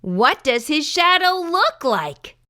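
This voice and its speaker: Bright, high-voiced woman reading to children. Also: sing-song, slow pace. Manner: inviting, asks listeners questions. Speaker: children's story reader and host. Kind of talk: children's read-aloud narration, addressing young listeners.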